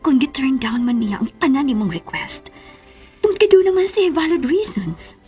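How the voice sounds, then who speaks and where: A woman's voice: sits high, expressive, moderate pace, dark tone, soft background music. A woman with a Cebuano Filipino accent playing an indulgent, coaxing mother of a grown daughter in a radio drama. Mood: soothing, reasoning. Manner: coaxing, mixing English into Cebuano.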